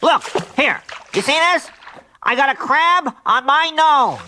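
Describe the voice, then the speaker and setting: A woman's voice: high voice, animated, takes it slowly, bright, forceful tone, slight background noise, full character voice. A woman acting a brash, pugnacious, easily flustered comic character in a radio play, with an insistent, exclamatory way of talking. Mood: flustered, exasperated.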